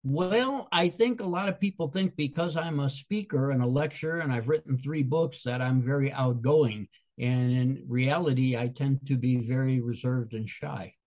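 A man's voice: medium pitch, normal speed, hardly any pauses, darkish tone. An older man from Chicago with a Chicago accent, answering interview questions in an earnest, explanatory way, sometimes restarting his phrases. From Chicago, USA. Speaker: guest speaker and comedian.

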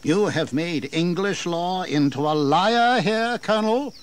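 High-voiced, indignant colonial governor. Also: male voice. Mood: bitter, stern. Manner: oratorical, emphatic, deliberate. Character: indignant colonial governor of Virginia, authoritative, bitterly sarcastic. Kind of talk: dramatized historical audio drama. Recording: 1980s audio drama with background noise.

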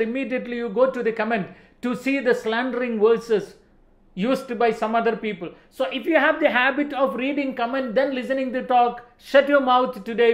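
A man's voice: extremely high pitch, echoey room. A man in his forties with an Indian accent preaching emphatically in a motivational religious talk, repeating phrases for stress. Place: indoor studio set.

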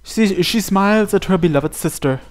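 A man's voice: sits high, normal speed, soft background music. A man narrating a visual novel aloud as host, reading the scripted text.

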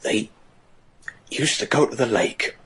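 A man playing a haunted, superstitious rural trapper in a gothic horror radio drama, with a rough, husky voice. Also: mid-range voice, expressive, takes it slowly, a bit bright, exaggerated voice. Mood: haunted recollection.

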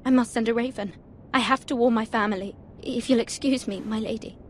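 A woman's voice: fairly high pitch, steady tone, fairly quick, slight background noise, lightly acted. An actress playing an anxious, dutiful young lady-in-waiting in a fantasy video game, formal and apologetic in manner. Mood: apologetic, worried. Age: young adult. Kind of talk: dramatic video game acting.

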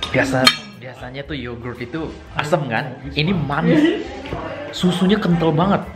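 Excitable young man vlogging over music. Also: on the high side, measured pace. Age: young adult. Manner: excitable, exclaiming. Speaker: vlogger, host. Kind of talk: casual travel and food vlog chat. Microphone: handheld camera at arm's length. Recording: loud music behind the voice.

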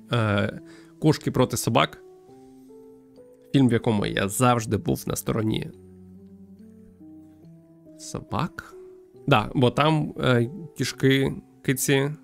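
A young man from Ukraine thinking aloud as he streams a game, with hesitant pauses and wry joking. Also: mid-range voice, vocal fry. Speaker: streamer playing a visual novel. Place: small room at home with string lights. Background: game music.

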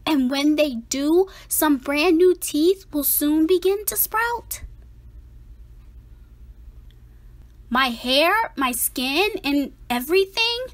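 A woman voicing an anxious, dramatic five-year-old boy in an expressive picture-book read-aloud, with emphatic, theatrical delivery. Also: high voice, slow pace, hardly any pauses. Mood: surprised, relieved.

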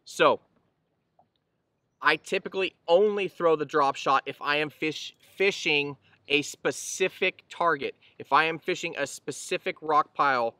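A man's voice: a little high, a little slow, pushed and bright, no room sound. A man in his thirties, seated on a boat outdoors, giving a casual explanatory fishing lesson to camera with easygoing fillers and restarts. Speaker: fishing channel host. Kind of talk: casual instructional talk to camera.

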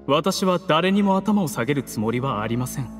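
男性の声優がゲームで演じる、礼儀正しく落ち着いた口調で脅す冷酷な策士の役人。. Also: male voice, a little high, expressive, lightly acted. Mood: cold, defiant. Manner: courteous, measured, menacing formal speech. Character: coolly ruthless scheming male official, polite betrayer. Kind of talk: dramatic game cutscene acting in Japanese anime manner. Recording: long reverb, music underneath.

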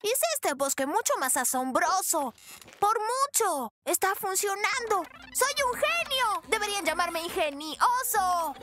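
Cartoon bear cub: tiny high voice, boastful little inventor, bouncy and animated, for a kids' dub. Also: a little slow, background noise, heavily acted. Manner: exuberant, cocky, exclamatory. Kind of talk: dubbed children's cartoon acting.

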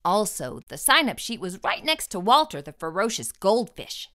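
Woman brightly narrating a children's storybook. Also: medium pitch, sing-song, normal speed. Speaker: storybook read-aloud host.

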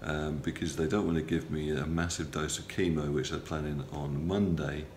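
A middle-aged man with a cold, recording a halting, matter-of-fact video diary from a hospital room on a front-facing camera, with many ums and uhs. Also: extremely low pitch, fairly even intonation, darkish tone. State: has a cold, stuffy. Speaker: patient video blogger. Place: small hospital room, indoors. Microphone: phone or camera close up, front-facing. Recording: roomy with faint background hum.

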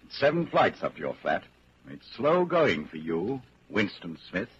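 An actor playing a grave narrator of a bleak dystopian tale, with dramatic, deliberate delivery in 1940s radio style. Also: male voice, fairly low pitch, sing-song, fairly bright tone. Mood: grave, ominous. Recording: muffled, noisy 1940s radio broadcast.

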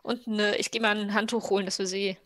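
A woman's voice: mid-range voice, flat delivery, quick pace, a bit bright, no room sound. A woman talking casually and improvising as a player in an online tabletop roleplaying game. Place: indoor room at home, video call.

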